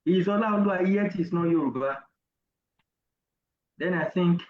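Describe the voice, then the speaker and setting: A middle-aged Nigerian man, an interview guest, telling a personal story in a deliberate, halting academic way. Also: high-pitched, echoey room, lo-fi sound, a little hiss or hum. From Nigeria, Ogun State. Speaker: interview guest, university professor.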